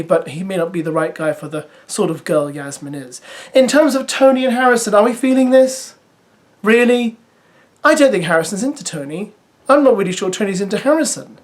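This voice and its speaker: Chatty, sarcastic reality TV vlogger. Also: medium pitch. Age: adult parent. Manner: chatty, sarcastic asides, lively. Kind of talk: casual spoken commentary to viewers.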